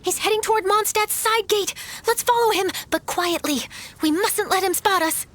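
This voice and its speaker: An actress playing a keen, confident young female reporter in a video game, brisk and conspiratorial. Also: very high voice, fairly bright tone, a little hiss or hum. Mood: eager, watchful. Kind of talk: video game cutscene acting.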